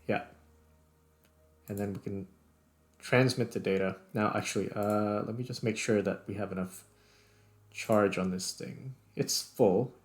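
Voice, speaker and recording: A man's voice: on the low side, darkish tone. A man giving casual, unscripted gameplay commentary, muttering step by step as he thinks aloud. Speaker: host playing and narrating a video game.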